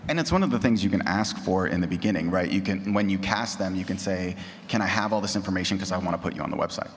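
A man's voice: sits low, fast talker. A man talking casually and conversationally as a panelist at a convention. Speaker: panelist, audio drama creator. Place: large indoor convention panel room. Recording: reverberant noisy conference room.

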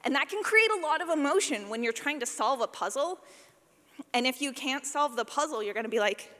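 Woman running a live workshop, bright lively voice, playful and chatty, big echoey room. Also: high voice, brisk. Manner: animated, playful, informal, acting out examples. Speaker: session presenter, podcast sound designer. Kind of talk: live workshop talk with audience participation. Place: large indoor session room. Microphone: presentation microphone. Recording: echoey hall, live presentation.

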